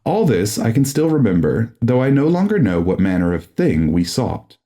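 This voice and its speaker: A man's voice: on the low side, very animated, normal speed, mellow. A man narrating a gothic horror audiobook in a solemn, formal and dramatic manner.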